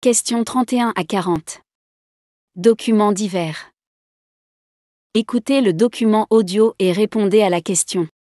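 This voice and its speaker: A woman's voice: mid-range voice, measured pace, pauses often, mellow, no echo, clean studio sound. A woman reading scripted test instructions as an exam announcer.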